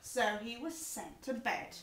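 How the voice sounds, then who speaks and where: Slow, high-voiced woman reading, put-on English accent. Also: lively intonation, a bit bright. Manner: playful, drawn-out, dramatic delivery. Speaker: bedtime story reader. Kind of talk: slow theatrical storybook reading. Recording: echoey room, home recording.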